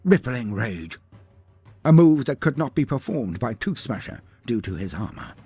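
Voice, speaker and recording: Husky, theatrical male storyteller, highly animated pitch. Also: normal speed, faint music behind. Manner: theatrical, grandiose delivery. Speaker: storyteller narrator. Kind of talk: dramatic scripted story narration.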